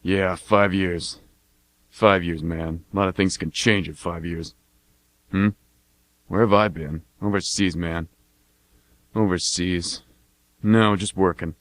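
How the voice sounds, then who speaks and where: A man's voice: low-pitched, steady tone, takes it slowly, pauses often, dry recording. A man playing a laconic, slangy army veteran chatting as a cab passenger in an amateur radio drama. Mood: wistful, guarded. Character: gruff male army veteran cab passenger, guardedly chummy.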